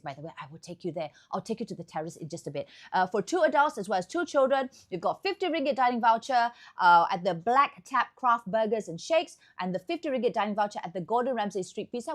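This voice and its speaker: A woman with a Malaysian accent hosting a chatty, upbeat promotional tour of a hotel room indoors. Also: mid-range voice. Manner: chatty, upbeat sales patter. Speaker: host.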